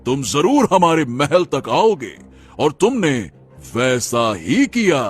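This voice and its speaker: A man acts out a greedy, scheming king from a cartoon folk tale. The voice is husky and swings widely in pitch, with a slow, theatrical delivery that hammers on repeated phrases. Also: very animated, faint music behind, exaggerated voice. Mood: gloating. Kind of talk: dramatic Hindi cartoon story acting.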